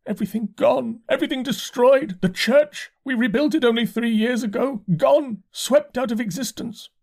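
A man voicing a distraught, half-mad male curate who talks in a slow, complaining, pleading way. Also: very high voice, gravelly, darkish tone, pitched above the performer's own voice, heavily acted. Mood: frantic. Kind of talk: audiobook character voice.

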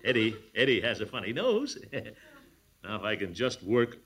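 Brief: self-important middle-aged bumbler for old-time radio comedy, bright voice swinging wildly in pitch. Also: male voice, sing-song, moderate pace. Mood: scheming. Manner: blustering, self-important, chuckling. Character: pompous blustering middle-aged man, comic amateur sleuth. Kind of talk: 1940s radio sitcom acting.

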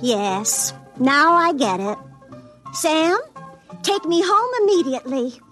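A prim scolding young girlfriend, old radio comedy, high lively voice. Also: slow, soft background music, full character voice. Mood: indignant. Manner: scolding, literal-minded, humorless. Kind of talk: 1950s radio comedy acting.

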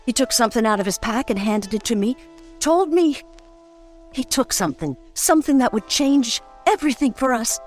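An actress playing an anxious, genteel wife in an old-time radio melodrama, hesitant and plaintive. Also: female voice, a little high, animated, normal speed, a little mellow, faint music behind, close to an everyday voice. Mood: uneasy, hesitant.

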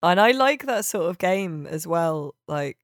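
A woman's voice: fairly low pitch, animated, measured pace, no echo. A woman co-hosting a casual video game podcast, bantering with wry, dry humour. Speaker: podcast co-host.

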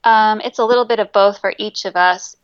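A woman's voice: medium pitch, steady tone, fairly quick, clean tone, dry recording, dull audio. A woman musician being interviewed in a casual chat, speaking hesitantly with many ums and easy laughter. Her first language is Greek. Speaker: musician guest.

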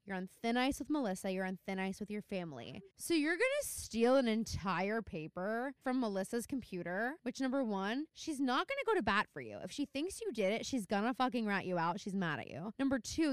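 A young woman co-hosting a podcast, chatting casually about a TV episode, animated, laughing often and swearing freely. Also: mid-range voice, fairly quick, hardly any pauses, clear, resonant tone, no room sound, clean studio sound. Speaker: podcast co-host. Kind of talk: casual chat recapping a TV episode.

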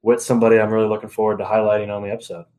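A man's voice: lowish voice, level tone, rapid-fire, mellow. A young man co-hosting a podcast in casual conversation. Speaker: podcast co-host.

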